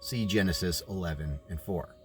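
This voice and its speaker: A man reading a book aloud as a narrator, in a deliberate way with informal asides. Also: deep voice, soft background music.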